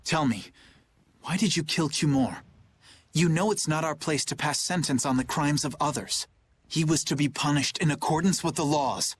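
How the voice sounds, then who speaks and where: A man's voice: fairly high pitch, normal speed, slight background noise, natural voice. A man playing an earnest, righteous young knight captain in a dubbed anime game, speaking formally and solemnly. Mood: stern, reproachful.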